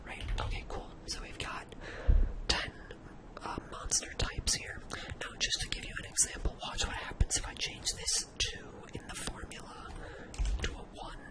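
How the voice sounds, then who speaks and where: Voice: moderate pace, low-quality recording. Someone whispers a tutorial in an ASMR style, casually thinking aloud while working through each step. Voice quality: breathy unvoiced whisper. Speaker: host of an ASMR spreadsheet tutorial.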